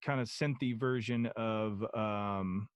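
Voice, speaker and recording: A man's voice: on the low side, slow pace, smooth, no room sound. Rambling man, dry close sound. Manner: rambling, many ums and restarts. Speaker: podcast guest, musician. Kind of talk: casual interview chat.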